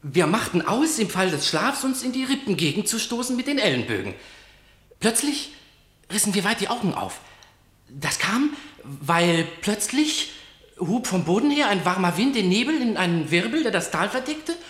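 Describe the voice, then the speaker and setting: A man's voice: high voice, very animated, normal speed, fairly bright tone, echoey room. A male actor playing an agitated guard who reports to his king in declamatory verse, in a radio play. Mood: excited.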